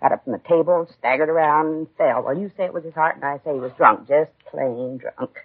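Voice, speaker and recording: A woman's voice: sits low, a little fast, slightly rough. An actress playing a bitter, stubborn older woman in a 1950s American radio drama, blunt and querulous with folksy grammar. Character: bitter, stubborn, complaining old mother-in-law. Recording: tinny, narrow-band 1950s radio recording.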